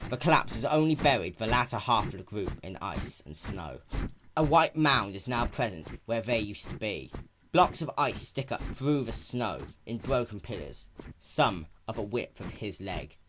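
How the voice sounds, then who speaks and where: A man with a fairly low voice gives a dramatic reading of a fantasy story. His delivery is intense and scripted, and a steady ambient sound effect runs clearly behind him. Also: background noise. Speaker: amateur story reader. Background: continuous ambient sound effect.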